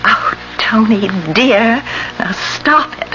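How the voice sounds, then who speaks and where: An actress playing an evasive, affectionate young American wife with fluttery, dramatic delivery in a radio mystery. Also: female voice, a little high, slow, sharp tone. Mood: defensive. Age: young adult. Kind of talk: 1980s radio drama acting. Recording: dull vintage radio recording with music.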